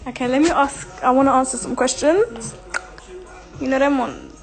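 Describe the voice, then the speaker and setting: A woman's voice: sits high, animated, normal speed, creaky, soft background music. A young woman in her twenties chatting casually on a phone livestream, reading viewer questions and answering bluntly with lots of swearing. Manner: blunt, profane, laughing, reads comments aloud. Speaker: social media personality answering viewer questions. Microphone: phone held close, selfie framing.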